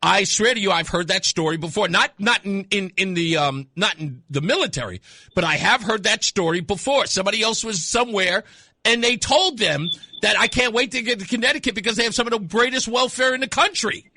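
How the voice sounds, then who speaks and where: Bright, forceful American radio host, a man. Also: high-pitched, a little fast, dry recording. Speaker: radio talk show host. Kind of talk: unscripted call-in talk radio chat. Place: radio studio. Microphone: close studio microphone.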